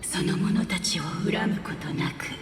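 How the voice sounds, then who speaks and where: An actress playing a solemn, mature female guardian in an anime-style game, with a grave, measured delivery. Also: lowish voice, recorded from a distance, close to an everyday voice. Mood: solemn, sorrowful. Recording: echoey hall reverb, clear game music.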